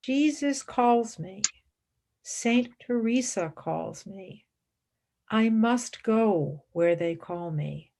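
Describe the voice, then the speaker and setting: Actress as devout aspiring nun, radio play, measured and solemn, noticeable vocal fry, unhurried, resolute. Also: female voice, mid-range voice, lively intonation, dark tone, heavily acted. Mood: calm, firm. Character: resolute, serene, devout woman becoming a nun.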